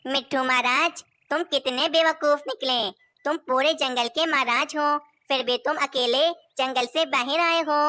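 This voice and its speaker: A woman's voice: high-pitched, fairly even intonation, unhurried, few pauses, bright, forceful tone. A woman playing an old female kite in a cartoon, a theatrical villain and doting mother bird. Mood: menacing, gloating. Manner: threatening, theatrical.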